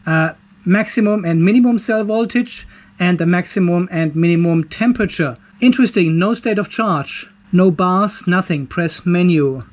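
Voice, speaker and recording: A man with a notably high-pitched voice talks through a hands-on inspection of a device. He muses aloud, curious and relaxed, explaining each thing he finds as he goes. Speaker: channel host reviewing a home battery.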